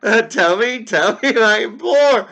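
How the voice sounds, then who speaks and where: Man acting out an anguished, shrill gothic mourner. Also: animated, vocal fry, bright, forceful tone, heavily acted. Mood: desperate pleading. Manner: melodramatic, drawn-out pleading and shrieking. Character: anguished grieving man raging at an ominous bird. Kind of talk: theatrical gothic poetry recitation.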